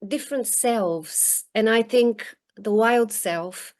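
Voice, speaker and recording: A woman in her forties, from Bulgaria, giving thoughtful interview answers over a video call, pausing often with ums and restarts. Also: a little high, darkish tone. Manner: halting, many restarts and ums. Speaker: guest author. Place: home in rural northern countryside.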